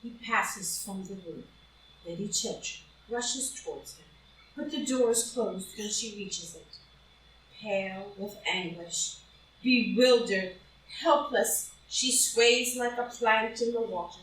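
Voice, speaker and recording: Female drama narrator, unhurried dramatic read, recorded at a distance in a very reverberant room. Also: medium pitch, a bit bright. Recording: very echoey room, distant mic, noise.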